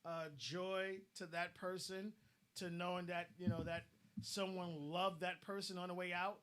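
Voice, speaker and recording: Blunt, high-voiced man giving advice. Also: little pitch movement, a little slow, a bit bright. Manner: blunt, advice-giving, repeats words as he starts a thought. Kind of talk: casual online discussion.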